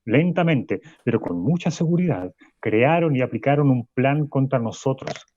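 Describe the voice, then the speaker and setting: An actor playing a radio host who delivers a grandiose conspiracy monologue, going from fast to slow. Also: male voice, mid-range voice, animated, mellow, no echo, natural voice. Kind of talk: radio drama acting. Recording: dull, muffled studio sound.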